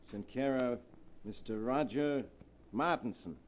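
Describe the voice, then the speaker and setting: A man's voice: mid-range voice, measured pace, close to an everyday voice. A man playing a matter-of-fact delivery man. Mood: businesslike. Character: plain businesslike male delivery man. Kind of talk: old-time radio drama.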